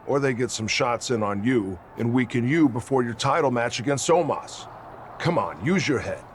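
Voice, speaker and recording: Guy as a stern team boss in a wrestling game, mid pitch, bossy and sarcastic, slight background noise. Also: lively intonation, moderate pace, close to an everyday voice. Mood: impatient. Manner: scolding, commanding, impatient. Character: stern, sarcastic male leader of a wrestling faction. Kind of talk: video game cutscene acting.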